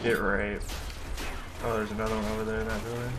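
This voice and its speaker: A young man chatting casually while gaming, profane and excitable. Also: on the low side, fast talker, background noise. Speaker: gamer. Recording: voice chat under game gunfire. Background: gunfire and game chatter.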